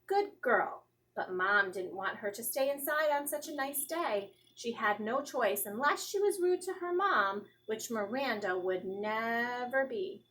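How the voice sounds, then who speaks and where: A woman in her thirties reads a children's storybook aloud in a fairly high voice. She tells it expressively, as a teacher would, and puts feeling into the characters' lines. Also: a little slow, few pauses, poor audio. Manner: animated, acting out the dialogue. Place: small classroom, indoors. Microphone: phone or tablet camera at about arm's length.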